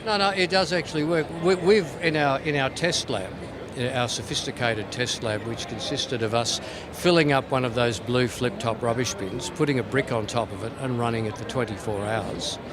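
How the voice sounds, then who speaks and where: A man's voice: medium pitch, normal speed, noisy background. A man giving an informal, playful product demonstration as a company representative at a trade show. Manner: tongue-in-cheek, playful.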